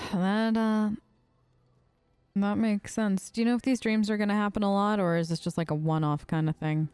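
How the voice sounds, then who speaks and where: A woman's voice: medium pitch, fairly even intonation, normal speed, a little mellow, clear, resonant tone, no echo. A woman improvising casual, slightly hesitant conversational replies as her character in a game role-play. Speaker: gamer voicing her player character.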